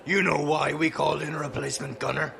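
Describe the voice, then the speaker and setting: Man acting a gruff, gravelly veteran space pirate. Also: on the high side, level tone, quick pace, pushed and bright, a little hiss or hum, exaggerated voice. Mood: impatient, bullying. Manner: brusque, colloquial grammar. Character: gruff, impatient male veteran pirate crewman bossing a rookie. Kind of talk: audio drama acting.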